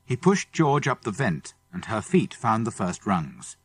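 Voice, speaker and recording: Animated British male children's story narrator. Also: on the low side, a little slow, breathy edge, soft background music. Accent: British English. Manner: dramatic storytelling. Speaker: audiobook narrator. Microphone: close studio microphone.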